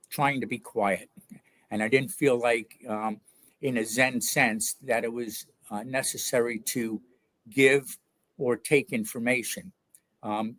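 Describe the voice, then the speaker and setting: An older man from New York, an author answering interview questions in a reflective, hesitant way with many pauses and fillers. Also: mid-range voice, no echo.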